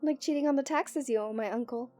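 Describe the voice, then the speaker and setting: Young princess, strong-willed romantic played by a woman. High, very mellow voice, soft and intimate, for ASMR roleplay. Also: a little fast, soft background music, lightly acted. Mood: poised, commanding. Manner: soft, intimate delivery that often drops into whispers.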